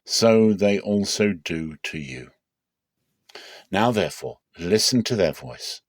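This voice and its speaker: A man reading scripture dramatically as God, grave and measured, instructing his prophet. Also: low-pitched, lively intonation, dry recording, studio quality, exaggerated voice. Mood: grave, commanding.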